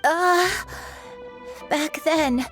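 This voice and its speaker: An actress playing a frail, gentle elderly woman in a video game, speaking in a halting, wistful way. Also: sits high, music in the background. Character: frail gentle elderly granny recalling her past.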